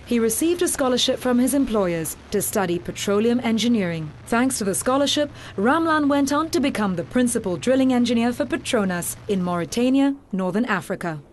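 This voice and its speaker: A woman giving scripted documentary narration in a measured, formal way. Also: a little high, a little hiss or hum.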